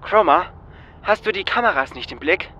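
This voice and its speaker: A man's voice: high-pitched, lively intonation, moderate pace, pushed and bright, dull audio. A man playing a curt, impatient, commanding heist crew leader in an audio drama. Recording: slight background noise.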